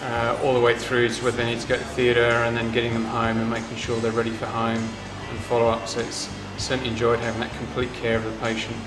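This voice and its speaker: A British man, a doctor, answering interview questions steadily with frequent pauses. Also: medium pitch, monotone. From United Kingdom. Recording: roomy sound with music behind.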